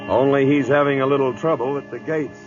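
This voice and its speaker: Actor as a gruff, dry old-time radio cavalry captain. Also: male voice, mid-range voice, steady tone, a little fast, close to an everyday voice. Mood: wry narrating. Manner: clipped, authoritative, wry. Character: gruff, dry-humoured veteran cavalry captain near retirement. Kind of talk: old-time radio drama acting with first-person narration. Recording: dull vintage radio broadcast, music behind.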